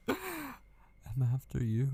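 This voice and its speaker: A man playing an obsessive, possessive kidnapper in an intimate ASMR roleplay, gleefully menacing and patient, slow and teasing, drifting toward whispers. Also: dark tone, a little hiss or hum, full character voice. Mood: gloating, playful menace. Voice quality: low, creaky.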